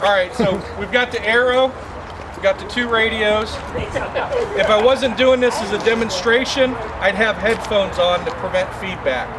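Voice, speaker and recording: A man's voice: sits high, normal speed. A man in his thirties giving an informal, methodical step-by-step practical demonstration to onlookers outdoors. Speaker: amateur radio demonstrator. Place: outdoors by a brick building and car park. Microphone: camera a few metres away. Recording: outdoor camera from a distance, loud ambient noise.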